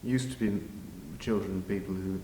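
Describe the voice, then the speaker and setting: Deep-voiced older Englishman in an echoey hall. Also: fairly even intonation, moderate pace. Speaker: interviewee, village pantomime organiser. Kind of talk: informal interview answers. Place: large village hall, indoors. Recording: very echoey hall, faint noise.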